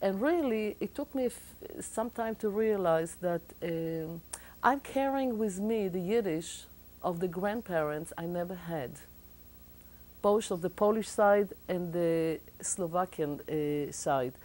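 A woman's voice: on the low side, takes it slowly, darkish tone. A middle-aged Israeli woman with an Israeli accent, explaining as a guest in a TV studio interview, with many thoughtful hesitations.

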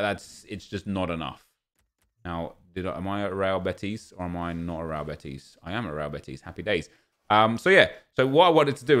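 Man, thirties, lowish voice, earnest ranting over gameplay, desk mic at home. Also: a bit bright. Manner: earnest, ranting, repeats words when restarting. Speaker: gaming content creator. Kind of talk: casual commentary over gameplay. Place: home streaming room.

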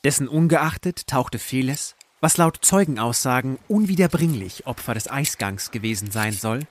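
A man reading scripted literary narration for an audio drama in a measured, deliberate storytelling manner. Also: mid-range voice, animated.

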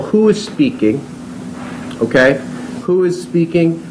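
A man's voice: mid-range voice, a little hiss or hum, poor audio. A man in his thirties, born in Taiwan and raised on Long Island, a fiction writer speaking at a panel in a seminar room, casual and conversational. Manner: conversational, lots of "you know" and "um". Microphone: table mic.

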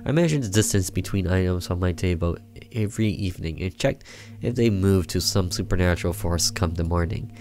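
A man's voice: low voice, a little fast, a little mellow. A young man reading a horror visual novel aloud as a let's player, with theatrical storytelling. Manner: theatrical storytelling with side comments. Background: game music with guitar.

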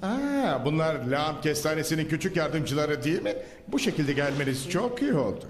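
A man's voice: on the high side, big pitch swings, normal speed, a little hiss or hum. A man voicing an old scheming sewer tyrant in a dubbed cartoon, speaking in a gloating, theatrical way. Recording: roomy, reverberant.

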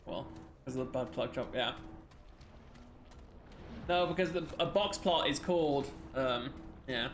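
A man's voice: mid-range voice, measured pace, pauses often, slight background noise. A young man from Denmark giving rambling, casual commentary while streaming a game, swearing now and then. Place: small room at home. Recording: roomy sound with faint game music.

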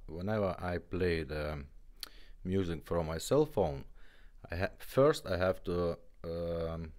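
A man's voice: low-pitched, darkish tone. A man giving a casual, informal product demonstration in non-native English phrasing. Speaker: presenter demonstrating restored car radios.

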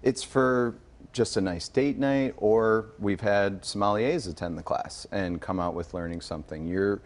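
Deep-voiced man explaining wine casually. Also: measured pace. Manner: informal explaining, often checking with "right?". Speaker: sommelier and restaurant manager, studio guest. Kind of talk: casual live TV wine demonstration. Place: TV news studio.

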